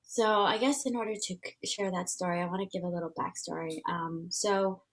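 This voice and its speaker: A woman's voice: on the low side, steady tone, brisk. A woman in her thirties whose first language is Vietnamese, speaking as an interview guest in an earnest, searching way with many fillers and restarts. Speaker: guest, transformation facilitator. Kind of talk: casual reflective interview talk.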